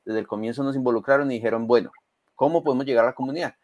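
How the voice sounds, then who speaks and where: A man's voice: mid-range voice, rapid-fire, a little mellow, no room sound. A native Spanish-speaking man explaining things conversationally as an interview guest, emphatic and fond of rhetorical check questions. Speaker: interview guest, community health program advocate.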